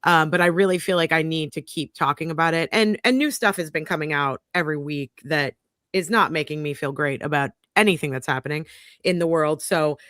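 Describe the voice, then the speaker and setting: A woman's voice: sits low, a little fast, no echo, studio quality. An American woman hosting a live news talk stream, chatty with frequent ums and restarts, on a home video call. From United States. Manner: chatty, warm greetings, many ums and restarts. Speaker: host of a news show.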